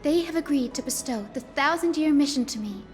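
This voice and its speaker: A woman voicing a gentle, solemn young priestess making a proclamation in a fantasy video game. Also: sits high, expressive, brisk, in a large hall, soft background music. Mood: solemn, resolute. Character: solemn, gentle young chosen priestess addressing her people.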